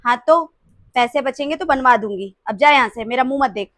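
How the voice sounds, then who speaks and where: A woman voicing a domineering older mother-in-law in a family drama skit, cutting and loud when she scolds. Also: mid-range voice, fairly even intonation, normal speed, natural voice. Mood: scolding.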